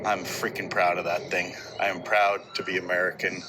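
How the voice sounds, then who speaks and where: A man's voice: sits low, level tone, moderate pace, background noise. A man from Norway speaking plainly and firmly.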